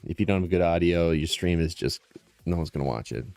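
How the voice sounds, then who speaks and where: A low-voiced man casually hosting a painting livestream, rambling and restarting his sentences as he works. He talks close to the mic, and faint music plays behind him. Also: brisk, creaky, a little mellow, no echo. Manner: rambling, self-interrupting, explains as he works. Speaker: livestream host and digital painter. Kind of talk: casual live-stream chat while painting. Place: small room with tile floors. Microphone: close condenser mic with processing.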